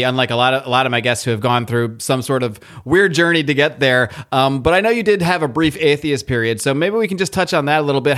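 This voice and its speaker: Fast-talking male podcast host. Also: medium pitch, fairly bright tone. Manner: casual, curious questioning. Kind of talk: conversational podcast interview.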